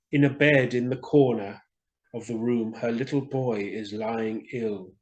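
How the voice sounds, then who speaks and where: A man voicing the gentle, sorrowful statue of a young prince in a storybook, speaking in a low, musical, measured voice. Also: close to an everyday voice, poor audio. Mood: compassionate.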